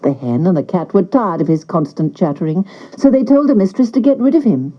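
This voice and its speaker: A woman narrating a scripted children's story in an expressive storyteller's style. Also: fairly low pitch, a little fast, dull audio.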